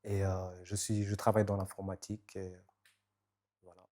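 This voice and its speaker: A man introducing himself and his family, halting with frequent fillers and restarts. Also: sits low, little pitch movement, mellow. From Rwanda and Belgium. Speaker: youngest sibling introducing himself. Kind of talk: spoken self-introduction.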